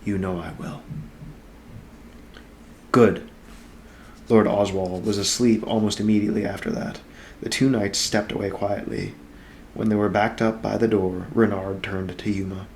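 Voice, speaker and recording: A man's voice: lowish voice, level tone, moderate pace, darkish tone. A man reading a fantasy novel aloud as a bedtime story at home. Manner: doting, cooing to pet in asides. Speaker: amateur story reader. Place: apartment room. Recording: clear background room noise.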